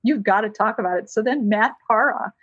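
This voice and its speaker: A woman's voice: mid-range voice, fairly quick, a bit bright, slightly rough, dry recording. American woman guest on a podcast, chatty storyteller, slightly dull dry sound. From United States. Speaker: guest, licensed counselor. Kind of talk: conversational storytelling.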